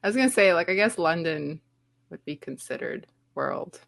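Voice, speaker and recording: A woman from Romania with a fairly low voice co-hosts a casual sports show. She is chatty and feels her way through sentences, often padding them with "like". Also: lively intonation, moderate pace, gravelly, a bit bright, no echo. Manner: chatty, hesitant phrasing with many "like"s.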